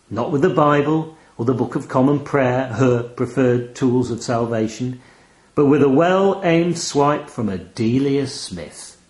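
A man's voice: medium pitch, a little mellow. A man reading a first-person short story aloud with wry, dramatic, literary delivery. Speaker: story reader. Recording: roomy, echoey voice recording.

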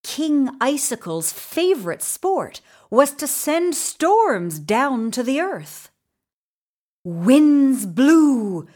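A woman narrating a children's story in an expressive, deliberate way with dramatic emphasis. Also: high-pitched.

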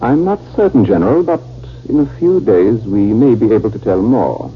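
A man's voice: lowish voice, lively intonation, moderate pace, slight background noise, close to an everyday voice. Guy playing a jittery formal doctor, voice goes up and down a lot, muffled old radio show with music. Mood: defensive, formal. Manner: anxious, formal, emphatic repetition. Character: nervous male army surgeon, secretly an enemy agent. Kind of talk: 1950s radio drama acting. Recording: muffled, band-limited 1950s radio recording.

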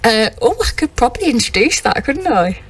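A woman's voice: a little high, sing-song, brisk, breathy edge, faint music behind. A woman hosting a chatty radio interview in an effusive, encouraging manner.

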